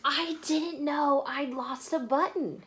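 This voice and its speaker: A woman's voice: high voice, slow, a bit bright, a little hiss or hum, pitched above the performer's own voice. A woman voicing a small, innocent, wistful toy bear in a storybook reading.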